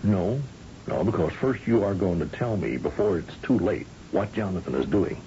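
An actor playing a commanding, folksy governor of a space colony who questions shrewdly, in an old-time radio drama. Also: male voice, sits low, animated, moderate pace, warm tone. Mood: pressing, shrewd. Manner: folksy, probing, patronizing. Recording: dull, noisy old radio recording.

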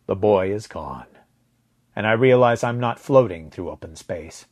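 A man with a husky voice narrating a story in a dramatic, deliberate way, letting phrase endings drop to a hush. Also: fairly low pitch, lively intonation. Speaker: audio fiction narrator.

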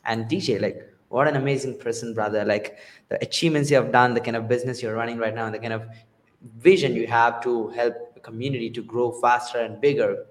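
A man's voice: medium pitch, steady tone, fairly quick. A man hosting an interview podcast, enthusiastically affirming his guest before moving on to the next question. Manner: enthusiastic, repeats affirmations, says absolutely often. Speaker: podcast host.

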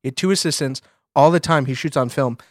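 An American man with a mid-pitched voice talks casually as a podcast co-host. The sound is dry and close, and he explains things like an animated storyteller, loose and slangy. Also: quick pace, dry recording.

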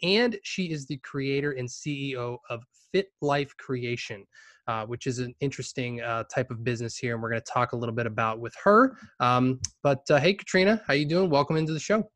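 A young man hosting a podcast, chatting in a relaxed way with lots of fillers, often trailing off quietly. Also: medium pitch, a little fast, no echo. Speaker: podcast host. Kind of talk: casual interview chat.

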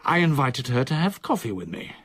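An actor playing a reserved solicitor in his sixties in a radio drama, with a measured, formal, precise manner. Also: medium pitch, sing-song, fairly bright tone, slightly rough. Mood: quietly confiding. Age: 60s. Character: reserved older male solicitor, in his sixties, quietly confiding.

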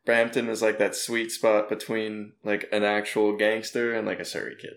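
Laid-back young Canadian man, gravelly. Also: on the low side, level tone, normal speed, a bit bright, roomy sound. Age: young adult. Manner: laid-back, laughs often, lots of fillers. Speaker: podcast guest, comedian and actor. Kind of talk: casual podcast chat.

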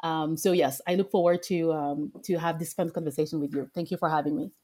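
A woman guest on a podcast who grew up in France and speaks with a French accent. She has a low, dark voice. She pauses often on fillers and restarts her phrases. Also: steady tone. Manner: hesitant, frequent fillers and restarts. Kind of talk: podcast interview.

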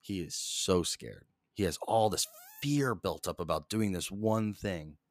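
A young man hosting a solo podcast, rambling in a flat monotone, swearing constantly and jumping between topics, close to the mic. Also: low-pitched, a little slow, no echo. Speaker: podcast host.

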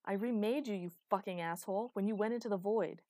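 Woman playing a desperate lovelorn smith, pitched up. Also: brisk, warm tone, no room sound, studio quality, lightly acted. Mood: defiant. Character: small lame male smith, desperate, lovelorn, defiant.

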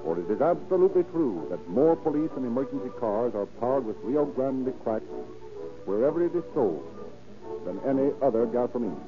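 A man's voice: medium pitch, sing-song, fairly quick. A man announcing and narrating in an emphatic, formal, scripted 1930s radio style. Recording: tinny 1930s radio recording with music.

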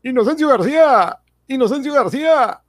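Man acting high-voiced, eager stammering young fellow. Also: expressive, moderate pace, no echo, studio quality. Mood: breathless excitement. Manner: stammering, repeating himself. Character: breathless, eager, pushy young male neighbour. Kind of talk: radionovela acting.

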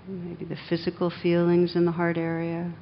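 A woman meditation teacher leading a guided meditation in a gentle, unhurried manner with long pauses, on a dull recording. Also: monotone. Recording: dull, muffled sound with faint rumbling noise.

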